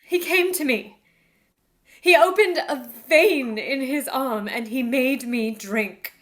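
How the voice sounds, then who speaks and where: Woman acting a high-voiced, melodramatic young fiancée. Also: slow, a little hiss or hum. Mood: anguished. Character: bewitched young fiancée, coy, secretive and anguished. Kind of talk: melodramatic old-time radio play acting.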